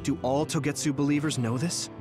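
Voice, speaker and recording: A man's voice: a little high, steady tone, fairly quick, over music, natural voice. A man voicing an earnest, resolute young squad leader in a video game, speaking seriously. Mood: questioning. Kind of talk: video game cutscene voice acting.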